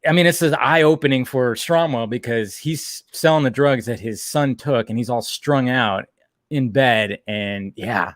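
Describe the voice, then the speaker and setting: A man with a medium-pitched voice recaps a show as a podcast co-host. He talks casually and loosely, retelling scenes with quoted lines and the odd swear word. The sound is dry and close. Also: measured pace, no room sound. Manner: informal, swears, rambling retelling with acted-out quotes. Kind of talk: casual chat, episode recap.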